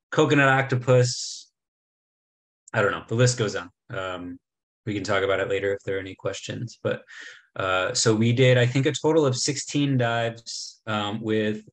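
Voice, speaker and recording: A man's voice: lowish voice, a bit bright. A man in his thirties giving a casual travel slideshow talk over a video call, chatty, with many ums and restarts. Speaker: travel presenter. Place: indoor room, remote talk. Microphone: webcam video call.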